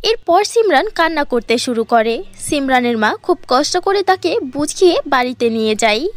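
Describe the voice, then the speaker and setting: High, dry-voiced woman narrating a cartoon. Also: no room sound. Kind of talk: scripted storybook narration.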